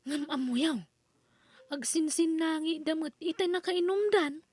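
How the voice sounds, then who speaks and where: An exclamatory village gossip in an Ilocano comedy drama, acted by a woman. Her voice sits extremely high, and the sound is close and dry. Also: measured pace, a little mellow, no echo. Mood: astonished, inquisitive.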